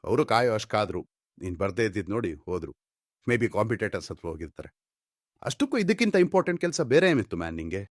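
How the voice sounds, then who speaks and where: A man's voice: lowish voice, big pitch swings, fast talker, dry recording, clean studio sound. A man with an Indian accent playing a cold, exasperated office manager, berating and commanding in short-film drama dialogue. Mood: furious, cold.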